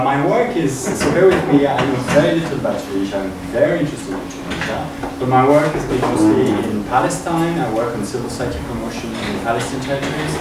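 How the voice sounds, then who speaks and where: Swiss male academic in an echoey room. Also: mid-range voice. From Switzerland. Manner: halting, searching for words. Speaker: academic guest speaker. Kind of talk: informal conference talk, improvised from notes. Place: university room. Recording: echoey room, distant mic, noisy.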